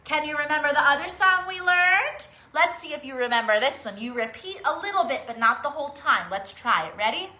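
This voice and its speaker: A woman's voice: high voice, animated, fairly quick, hardly any pauses, bright, forceful tone. A woman leading an interactive children's storytime, coaxing small children along with questions and sing-song encouragement. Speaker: children's storytime host teaching songs and crafts. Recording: echoey room.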